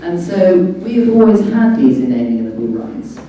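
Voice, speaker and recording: A woman's voice: fairly low pitch, big pitch swings, moderate pace, mellow. A woman giving an impassioned, emphatic public talk on legal matters, speaking as a lawyer at a meeting. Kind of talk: impassioned public speech explaining law. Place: indoor public meeting venue. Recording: echoey room, distant microphone.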